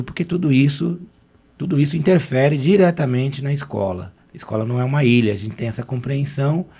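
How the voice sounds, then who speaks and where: A man's voice: medium pitch, a little mellow. A man hosting a podcast, talking in a chatty, loose way with fillers and restarts. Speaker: podcast host.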